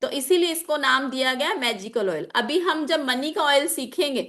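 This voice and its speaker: A woman's voice: sits high, lively intonation, normal speed, fairly bright tone. A woman gives an informal lesson. Her throat is a little sore. She explains point by point, repeating key phrases for her students and dropping English terms into her sentences. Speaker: teacher of an online class on chakras and magical oils. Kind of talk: informal spoken lesson with examples.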